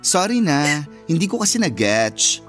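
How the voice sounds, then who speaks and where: A man's voice: on the high side, sing-song, a little slow, fairly bright tone, faint music behind. A man with a Filipino accent acting a sassy, fiercely loyal cousin in a radio drama, slangy and emphatic. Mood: teasing, comforting.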